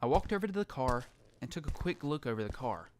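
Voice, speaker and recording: A man's voice: medium pitch, sing-song, fast talker, a little hiss or hum, close to an everyday voice. A man playing a lone apocalypse survivor who tells his story in earnest, dramatic first-person narration for an audio drama. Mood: reflective. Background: music.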